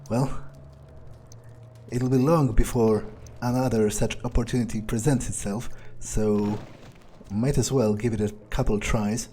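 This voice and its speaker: A man's voice: mid-range voice, warm tone, slight background noise, natural voice. A man playing a callous, darkly amused vampire, musing hesitantly to himself as in-character video game commentary.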